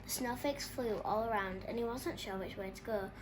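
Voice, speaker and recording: High-voiced woman reading a picture book. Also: moderate pace, noisy background. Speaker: children's story reader. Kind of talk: expressive picture-book read-aloud.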